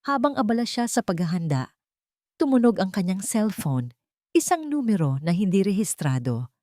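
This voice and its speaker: A woman storyteller giving a dramatic scripted narration of a romance, with deliberate, emotive phrasing in short breaks. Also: mid-range voice, sing-song, warm tone, dry recording, clean studio sound.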